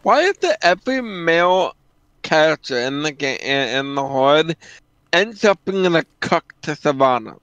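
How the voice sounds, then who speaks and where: A man co-hosting a stream in casual chat, halting as he searches for words. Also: a little high, big pitch swings, a bit bright, clear, resonant tone, dry recording, dull audio. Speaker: stream co-host.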